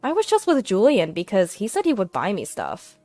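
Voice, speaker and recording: A woman's voice: medium pitch, huge pitch swings, a little fast, darkish tone, no echo, faint music behind. Woman voicing careless young woman, flippant, offhand, storybook narration, dry sound. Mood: dismissive.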